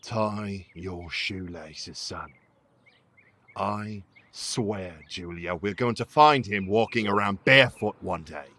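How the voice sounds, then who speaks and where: A stern, short-tempered father in an audio drama, scolding and curt. The voice is low and gravelly, with slow, weighted delivery. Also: bright, forceful tone, heavily acted. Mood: exasperated. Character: stern, irritable father who dislikes magic.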